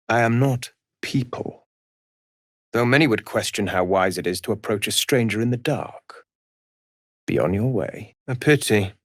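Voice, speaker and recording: An actor playing a mysterious, brooding male avenger in a video game, speaking in grave, measured, formal phrases with a gravelly, hushed edge. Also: mid-range voice, no room sound, studio quality. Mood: cryptic, solemn. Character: mysterious, brooding male avenger who hunts and torments murderers. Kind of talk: dramatic video game voice acting.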